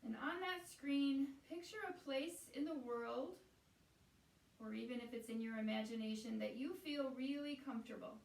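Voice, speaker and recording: A woman guiding a meditation as a teacher, speaking in a soothing, unhurried way with pauses between phrases. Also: high voice, gravelly. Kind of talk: guided meditation. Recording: roomy echo, distant from the mic.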